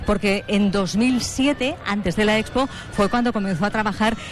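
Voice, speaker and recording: A woman reporting live from an outdoor event, interviewing a volunteer briskly and in a friendly way. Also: medium pitch. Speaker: field reporter.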